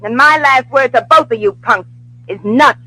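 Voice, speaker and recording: An actress playing a sassy, combative, slangy working-class young secretary in an old-time radio drama. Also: female voice, high-pitched, moderate pace, bright, forceful tone, rough recording. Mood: defiant, snappy. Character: brassy, tough-talking working-class young woman secretary with attitude. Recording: old radio broadcast recording.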